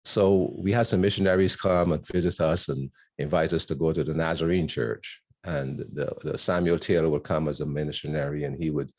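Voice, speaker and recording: An older man from Barbados, a guest speaker telling his life story in a rambling, storytelling way with many pauses. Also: extremely low pitch. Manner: warm storytelling with frequent ums and laughs. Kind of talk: personal testimony talk.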